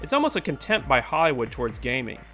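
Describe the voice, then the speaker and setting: A man's voice: medium pitch, huge pitch swings, fast, fairly bright tone, faint music behind. A man in his twenties narrating a scripted video essay as host, wry, sarcastic and profane, recorded in a small room at home. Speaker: host of a video game movie review show.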